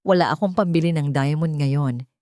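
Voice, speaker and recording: A woman's voice: sits low, moderate pace, a little mellow, no room sound, studio quality, lightly acted. A woman storyteller voicing an earnest, humble young man who proposes tenderly in short, solemn phrases. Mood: shy, humble.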